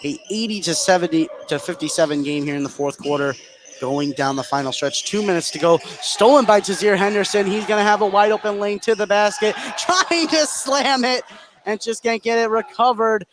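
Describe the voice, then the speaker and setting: Higher-voiced male basketball announcer, noisy background. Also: moderate pace. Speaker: play-by-play announcer. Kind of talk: live basketball play-by-play commentary. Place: indoor sports gym. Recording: clear crowd and gym ambience noise.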